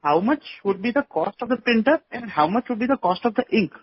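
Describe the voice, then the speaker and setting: High-voiced Indian man, noisy call line. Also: lively intonation, fairly quick. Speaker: investor asking questions. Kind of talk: questioning on an earnings call. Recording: dull, noisy phone conference line.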